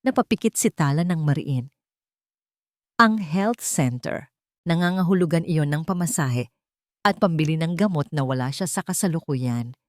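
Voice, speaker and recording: Low-voiced Filipino woman narrating a dramatic story. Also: animated, moderate pace, no room sound. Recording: dry studio voice over background music. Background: background music.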